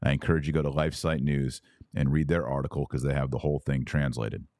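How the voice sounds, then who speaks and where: A man with a deep voice hosts a religious commentary podcast. He talks informally and with emphasis, explaining points and often checking that the listener follows. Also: steady tone, fast, darkish tone, dry recording, studio quality. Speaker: podcast host.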